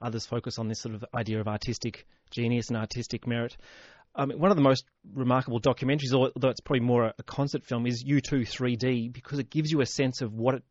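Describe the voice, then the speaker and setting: A man's voice: mid-range voice, little pitch movement, fast talker, darkish tone, no room sound, highs cut off. A man with an Australian accent reviewing films conversationally as a radio guest, thoughtful and a little hesitant. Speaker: film critic guest on radio. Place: radio studio. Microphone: close studio microphone.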